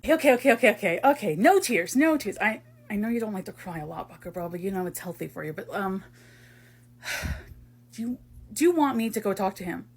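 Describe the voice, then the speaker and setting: A woman playing an easygoing, protective young man in an amateur audio drama, coaxing and soothing in husky, bro-ish talk. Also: medium pitch.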